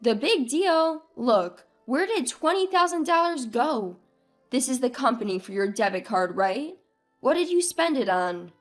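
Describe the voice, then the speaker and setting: A woman's voice: high voice, sing-song, measured pace, soft background music. A woman voicing an indignant, exasperated wife in a narrated family drama, arguing in emphatic questions. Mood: shocked, demanding. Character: indignant, exasperated young wife standing up for herself. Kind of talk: acted dialogue in a narrated family drama story.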